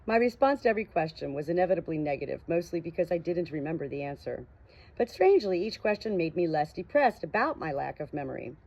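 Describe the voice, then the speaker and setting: A woman's voice: fairly low pitch, very animated, fast talker, a little mellow. A woman reading a first-person novel aloud as an audiobook narrator. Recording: dull, muffled lo-fi audio.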